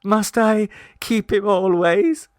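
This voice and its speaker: A man's voice: sits high, fairly even intonation, takes it slowly, no echo, studio quality, voice pushed up. Man doing a faltering tearful young woman. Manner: faltering, stammering.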